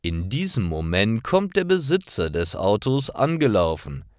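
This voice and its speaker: A man reading scripted stories for language learners in a careful, clearly phrased storytelling manner. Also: on the low side, sing-song, unhurried, a little mellow, no echo, studio quality. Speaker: narrator of German learner stories.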